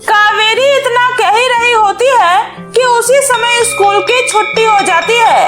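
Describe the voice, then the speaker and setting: A woman's voice: very high voice, measured pace, over music. A woman storyteller reading scripted narration for a cartoon story.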